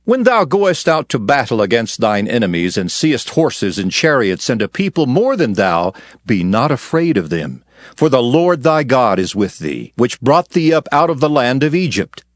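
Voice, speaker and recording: A man's voice: mid-range voice, sing-song, normal speed, no room sound, clean studio sound. A man narrating dramatized scripture in a solemn, declamatory manner.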